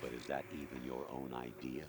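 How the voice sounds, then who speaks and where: Very deep, stern commanding machine mind. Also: male voice, little pitch movement. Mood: condescending. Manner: stern, deliberate, lecturing. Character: cold, condescending AI posing as an older military man. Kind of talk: video game cutscene acting. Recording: game audio under loud commentator chatter.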